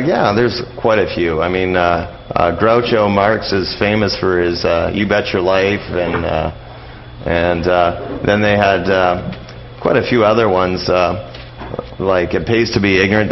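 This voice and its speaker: A man talking casually as an interview guest, explaining things in a rambling, hesitant way. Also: low-pitched. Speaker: guest, old-time radio expert. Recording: dull lo-fi sound with background hiss.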